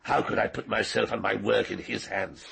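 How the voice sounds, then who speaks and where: An actor playing an arrogant, cold-blooded older knight in a radio drama, precise and condescending. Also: male voice, on the low side, fairly even intonation, normal speed, vocal fry, fairly bright tone. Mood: contemptuous. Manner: condescending, precise, coolly menacing.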